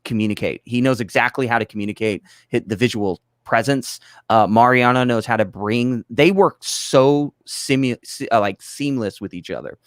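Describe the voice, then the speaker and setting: A man's voice: medium pitch, normal speed, hardly any pauses, no echo, studio quality. A man explaining things in casual chat, emphatic and rambling, often breaking off to restart his point. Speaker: project collaborator, guest.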